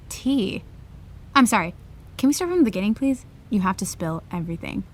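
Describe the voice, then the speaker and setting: An actress playing a groggy, placating young best friend in an audio drama, casual and hesitant. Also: female voice, medium pitch, very animated, normal speed. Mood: sympathetic. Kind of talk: naturalistic audio drama acting.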